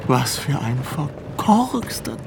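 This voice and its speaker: Sardonic weary literary guy, gravelly, slow but really animated, radio play. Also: medium pitch, heavy background noise, heavily acted. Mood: bitter. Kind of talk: theatrical radio drama acting. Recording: loud music and ambience behind voice.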